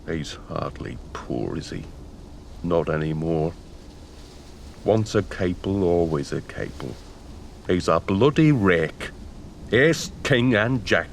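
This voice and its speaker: An actor playing a surly, sarcastic manor servant in a gothic audio drama, with a British accent and an insolent drawl. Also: male voice, deep voice, animated, takes it slowly, gravelly, pauses often, a little hiss or hum, full character voice. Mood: bitter, sneering.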